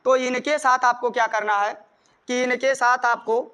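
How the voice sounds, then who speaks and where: High-pitched Indian man teaching. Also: level tone, normal speed, sharp tone, smooth. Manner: repetitive, step-by-step explaining. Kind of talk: grammar lesson, explaining rules with examples.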